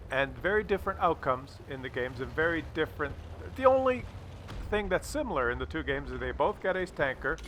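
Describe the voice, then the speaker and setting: A man with a bright, forceful and slightly high voice commentates game replays in a casual way. He is jokey and crude and often repeats his phrases. Vehicle noise from the game is clearly heard behind him. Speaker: host of gameplay commentary video.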